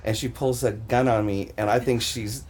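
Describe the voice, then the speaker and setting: A woman's voice: a bass voice, moderate pace. A woman chatting casually as a podcast guest, joking and exuberant. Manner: jokey, exuberant, animated. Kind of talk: casual chat. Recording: noisy room recording.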